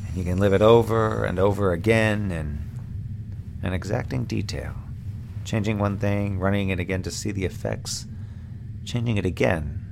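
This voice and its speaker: A lone crewman on a moonbase in a sci-fi audio drama. His voice is low and full of creak, wry and exasperated as he rambles to himself. A faint ambient noise sits behind him. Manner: wry, rambling, muttering, thinking aloud.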